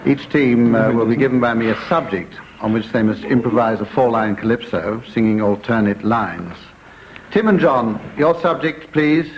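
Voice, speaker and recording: A man's voice: mid-range voice, normal speed, lo-fi sound. British man chairing, noisy old recording. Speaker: panel show chairman. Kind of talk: comedy radio panel show hosting.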